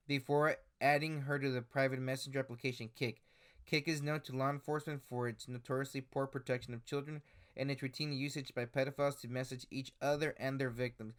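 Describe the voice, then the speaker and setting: Hesitant man in his twenties. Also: medium pitch. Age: 20s. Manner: hesitant, many "uh" fillers and restarts. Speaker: commentary YouTuber. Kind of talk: casual commentary reading screenshots.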